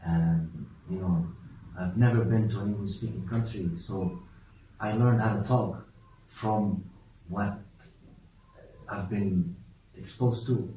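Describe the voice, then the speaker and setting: Deep-voiced young man, hesitant, echoey room. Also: slow, pauses often, warm tone, distant mic, slight background noise. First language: Arabic. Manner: hesitant, many restarts and fillers. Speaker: interviewee. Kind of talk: casual interview answers.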